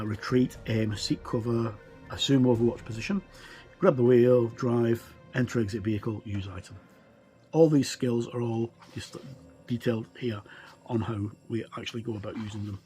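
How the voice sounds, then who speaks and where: A man's voice: medium pitch, darkish tone. A middle-aged man giving a casual, rambling commentary as he reviews a tabletop game book, explaining informally and drifting into asides.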